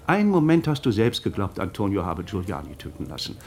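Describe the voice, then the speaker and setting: A shrewd magistrate in a radio drama, speaking in a fairly low voice. He builds his case with theatrical, rhetorical flourishes. His manner is insinuating, mocking and relentless. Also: sing-song, a little fast, creaky, darkish tone, full character voice. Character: shrewd male magistrate methodically cornering a suspect, mocking and relentless. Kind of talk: German radio play acting.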